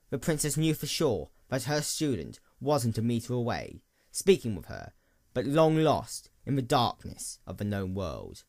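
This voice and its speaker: A man's voice: medium pitch, vocal fry, no echo, clean studio sound. A man reading a dramatic scripted story as its narrator. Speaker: narrator, fan fiction reader.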